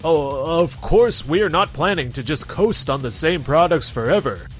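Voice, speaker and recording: A man's voice: a little high, lively intonation, a little slow, faint music behind. A man playing a defensive, blustering middle manager in an anime-style manga dub. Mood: nervous, placating. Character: defensive, flustered male middle manager, eager to placate.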